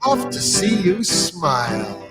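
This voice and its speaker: A woman's voice: lowish voice, wildly swooping pitch, slow. A guest musician with a woman's voice, singing a light song to guitar. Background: plucked guitar accompaniment.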